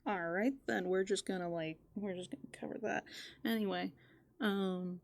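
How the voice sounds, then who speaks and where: Woman voicing a playful raccoon, ASMR roleplay, gentle fry, hushed and casual, drifting into whispers. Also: mid-range voice, fairly even intonation, normal speed, close to an everyday voice. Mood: relaxed, amused. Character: playful, easygoing female raccoon living in a dumpster. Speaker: ASMR host. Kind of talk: soft-spoken furry ASMR roleplay.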